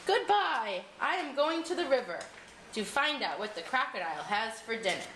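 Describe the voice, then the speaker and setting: A woman's voice: fairly high pitch, very animated, normal speed, fairly bright tone, reverberant, slight background noise, poor audio. A woman voicing a polite, endlessly curious little elephant child in a storybook reading. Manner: very polite, wide-eyed questioning.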